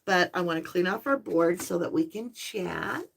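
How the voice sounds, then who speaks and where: A woman hosting a live tarot stream, talking softly and affectionately to her viewers, her voice slightly hoarse and tired. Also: fairly low pitch, lively intonation, slight background noise. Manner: soft, slow, spiritual and affectionate, addressing viewers as lovelies. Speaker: tarot reader and channel host.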